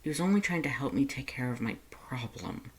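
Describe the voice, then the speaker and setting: A reader voicing an anguished, self-hating but gentle male skeleton monster, strained and halting, in a fan fiction story. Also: medium pitch, lively intonation, pitched above the performer's own voice, close to an everyday voice. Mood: bitter self-hatred. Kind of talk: fan fiction read-aloud character voice.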